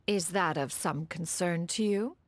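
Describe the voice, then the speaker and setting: A woman's voice: fairly low pitch, measured pace, close to an everyday voice. A woman voicing a haughty, refined immortal spirit in an anime video game, with pompous, archaic formality. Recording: game music behind the voice.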